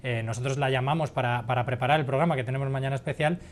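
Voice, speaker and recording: A man reporting in a studio news conversation, explaining with measured hesitations. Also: mid-range voice, steady tone.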